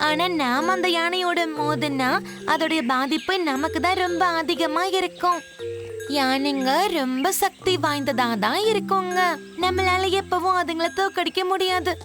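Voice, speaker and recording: A woman's voice: extremely high pitch, measured pace, over music. A woman voicing a timid, cautious young female animal in a children's cartoon, sounding anxious and doubtful. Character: timid, cautious female grass-eating animal, small and worried.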